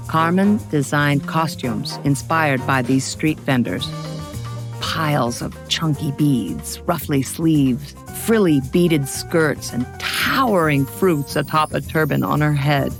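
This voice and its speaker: Expressive woman storyteller over music. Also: low-pitched. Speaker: storyteller narrator. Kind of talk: scripted biography narration for children.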